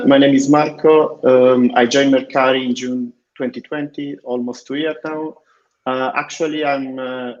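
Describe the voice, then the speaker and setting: A man's voice: medium pitch, a little mellow. Italian man on a dull lo-fi call. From Italy. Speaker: engineering manager. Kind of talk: online panel self-introduction.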